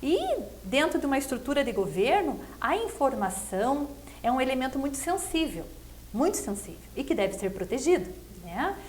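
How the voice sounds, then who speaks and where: A woman's voice: high voice, big pitch swings, moderate pace, darkish tone, slight background noise. A Brazilian woman giving conversational political commentary in an earnest, explanatory way, often checking for agreement with tag questions. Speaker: interview guest, political commentator.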